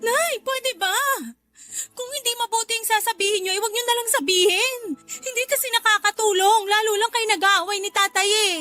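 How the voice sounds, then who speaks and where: Casting: woman as exasperated teenage-style daughter for radio drama; piercingly high, reproachful, pleading, still polite. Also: a little fast, pushed and bright. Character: exasperated, outspoken school-age daughter, polite but weary. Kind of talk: Tagalog radio drama acting. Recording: music behind the voice. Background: music.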